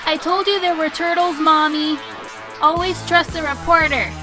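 A woman voicing a curious, cheeky little girl in an animated drama, precocious and mock-serious. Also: extremely high pitch, moderate pace, over music.

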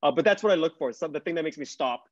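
A man's voice: a little high, lively intonation, racing, no echo. A middle-aged man talking conversationally as a guest on a panel, reflective and hesitant, telling things through anecdotes. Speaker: guest writer and podcaster. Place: small bright home room. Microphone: video call, earbuds. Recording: dull, lo-fi video call audio.

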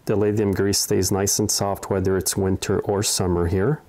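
A man's voice: low voice, little pitch movement, normal speed, dark tone. A middle-aged man narrating a hands-on repair tutorial in a plain-spoken, step-by-step way. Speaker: small engine repair host. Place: indoor repair workshop.

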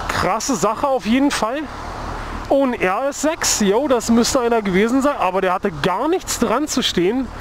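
A man's voice: very high voice, lively intonation, moderate pace. A young man chatting casually and rambling as he rides a motorcycle through the city, speaking into a helmet mic with the visor open. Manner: rambling, laid-back, heavy with fillers. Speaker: motovlogger. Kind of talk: casual chat while riding. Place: on a motorcycle in city traffic, outdoors. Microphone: helmet-mounted mic, open visor. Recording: wind and traffic noise.

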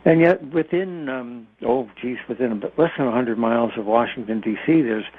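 Through a thin, phone-like connection with no room echo, an older man gives conversational explanations as an interview guest. His delivery halts and doubles back on words, at an ordinary male pitch. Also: husky. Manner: halting, explanatory, with repeated words. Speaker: guest nuclear engineering expert. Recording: narrow, phone-like radio line.

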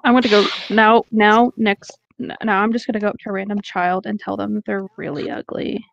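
A woman's voice: mid-range voice, level tone, a little fast, creaky, a little hiss or hum. A woman reading fan fiction aloud with casual asides, on a slightly dull recording. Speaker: reader and commentator.

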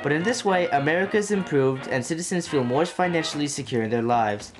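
A teenage man playing a composed, formal 1930s radio host, speaking in a measured, dry way from a script. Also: fairly high pitch, animated, natural voice. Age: teens. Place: small wood-panelled room indoors. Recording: music playing over the voice.

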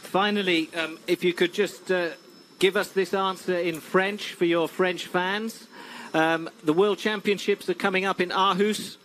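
A man presenting a sailing broadcast, commenting on the race and interviewing athletes in a brisk, chatty way. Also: high-pitched, fairly even intonation, fairly bright tone, slight background noise, poor audio.

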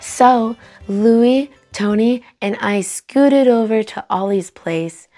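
A young adult woman hosting a children's read-aloud, narrating in an animated, clearly enunciated way. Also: on the high side, takes it slowly. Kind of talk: expressive storybook narration for children. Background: soft music.

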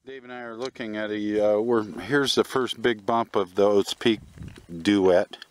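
Older male hiker, lower-pitched voice, informal and halting trail narration into a handheld camera outdoors. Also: fairly even intonation, soft background music. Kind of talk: casual trail commentary. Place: outdoors on an open hillside trail.

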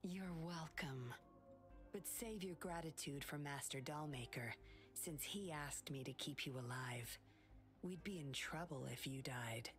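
An actress playing a cool, condescending young femme fatale in a video game, sly and composed. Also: female voice, low voice, a little slow, soft background music. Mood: cool, commanding.

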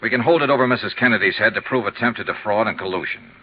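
An actor as a no-nonsense male investigator in a 1950s radio drama. He talks fast and clipped in a low, pressing voice. The old recording is muffled and clearly noisy. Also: natural voice. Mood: firm, insistent. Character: brisk, probing, no-nonsense male insurance investigator. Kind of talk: 1950s radio acting, dialogue and narrated report.

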